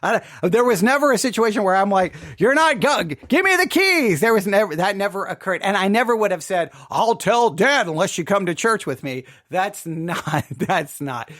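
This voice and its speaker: A man's voice: high voice, big pitch swings, normal speed, few pauses, fairly bright tone, no echo, studio quality. A man hosting a podcast with informal personal commentary, emphatic, often repeating and rephrasing himself. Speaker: podcast host.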